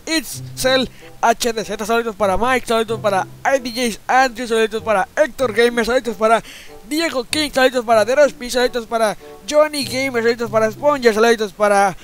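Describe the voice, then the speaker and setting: Man doing a shrill cranky old grandpa gamer. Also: fairly quick, soft background music. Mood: rattling off. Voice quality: high, strained old-man voice. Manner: grumbling, swearing, yelling at the game. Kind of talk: comedic gameplay commentary.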